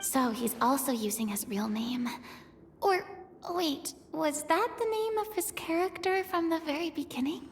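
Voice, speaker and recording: A woman voicing an earnest, excitable young adventurer in an anime video game, expressive and theatrical over music. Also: high-pitched, a little slow, a little hiss or hum. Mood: puzzled, hushed. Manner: expressive, slipping in and out of theatrical role-play. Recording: music behind the voice.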